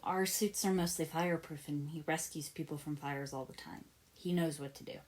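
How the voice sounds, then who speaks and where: A woman's voice: sits low, fairly even intonation, normal speed, darkish tone, close to an everyday voice. A woman voicing a confident, loyal young heroine in a read-aloud story.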